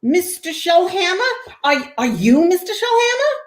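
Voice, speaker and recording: A woman's voice: very high voice, sing-song, normal speed. A woman playing a brash, plain-spoken mother with blunt, slangy talk in a 1940s-style radio play. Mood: impressed. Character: brash, plain-spoken, exasperated working-class city mother.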